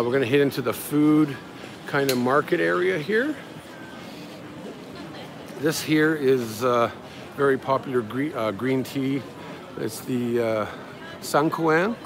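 A man giving an offhand, rambling walking-tour commentary as a travel vlogger, talking casually as he goes. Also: medium pitch. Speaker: live-streaming travel vlogger and guide. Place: busy outdoor market street. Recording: outdoor street with crowd and traffic. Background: street crowd, passing vehicles.

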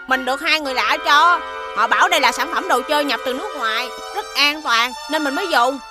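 A woman's voice: high voice, quick pace, over music, lightly acted. A woman with a southern Vietnamese accent voicing a mischievous, defensive little schoolboy in a children's cartoon.

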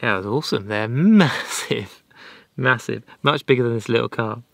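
A man's voice: medium pitch, normal speed, gravelly. A man in his thirties chatting casually and joking dryly in a travel vlog. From England. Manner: relaxed, dry joking. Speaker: sailing vlogger. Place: apartment and small car in a game park.

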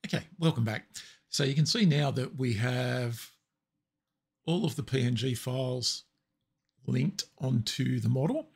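Raspy man walking through a tutorial. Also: medium pitch, unhurried, long pauses. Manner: relaxed step-by-step explaining with fillers. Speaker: tutorial presenter. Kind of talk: screen-recorded software tutorial.

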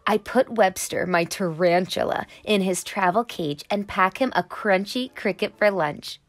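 A woman's voice: mid-range voice, unhurried, lightly acted. A woman voicing an eager, brave young boy superhero in training, earnest and dramatic, in a storybook. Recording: music under the voice.

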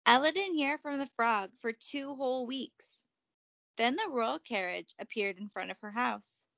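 A woman reading a children's picture book aloud as narrator, expressive and emphatic. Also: on the high side, a little slow, vocal fry, dry recording. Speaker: storybook reader.

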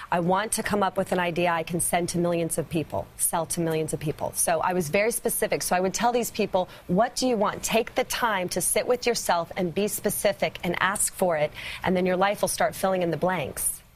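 A woman's voice: lowish voice, fairly even intonation, moderate pace, slight background noise. A woman in her forties on a TV panel, speaking earnestly and enthusiastically. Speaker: entrepreneur guest. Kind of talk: TV panel discussion. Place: TV studio set.

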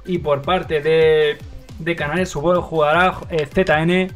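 Young man, spain spanish accent, live game caster, slightly high voice, faint music behind, chatty with fillers. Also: measured pace. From Madrid, Spain. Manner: excitable play-by-play, many fillers. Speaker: livestream host and caster.

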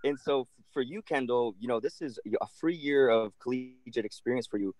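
A man's voice: mid-range voice, a little fast, darkish tone, no room sound. A man, a TV reporter, asking press questions over a video call in a friendly, rambling way.

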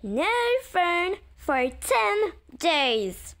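A firm, scolding mother in a cartoon family story, voiced by a woman. Her voice is high and her delivery slow and reprimanding. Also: big pitch swings, a bit bright, heavily acted. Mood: stern. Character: stern, scolding mother of squabbling girls. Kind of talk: animated roleplay story acting.

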